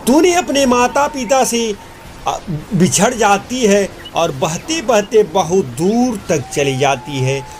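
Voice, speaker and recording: A man narrating a children's cartoon moral story in an earnest, explanatory way. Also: high-pitched.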